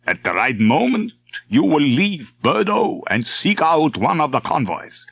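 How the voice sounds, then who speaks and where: A man voicing a weary, imposing senior admiral in an audiobook, speaking in slow, thick, authoritative tones. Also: fairly high pitch, sing-song, fairly bright tone, slight background noise, pitched above the performer's own voice, heavily acted. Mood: grave briefing. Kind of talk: audiobook character acting.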